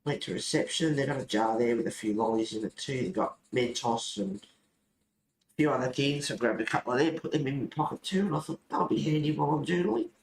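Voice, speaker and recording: A man talks in an informal, rambling way, like someone hosting a laid-back hobby stream. His voice sits at an average male pitch. The room adds a clear echo, with faint noise behind him. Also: fast talker. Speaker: host of a colouring club stream. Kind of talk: casual rambling chat while colouring.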